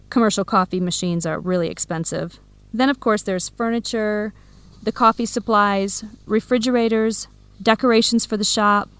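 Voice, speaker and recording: Radio drama role: ambitious young female, practical manner, medium pitch, no room echo played by a woman. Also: fairly bright tone, clear, resonant tone, lightly acted. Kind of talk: educational radio drama dialogue.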